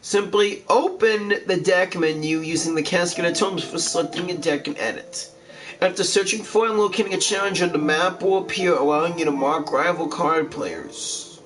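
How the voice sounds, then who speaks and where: A man's voice: sits high, normal speed, rough recording. A man reading game text aloud as he plays through a video game walkthrough. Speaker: game streamer.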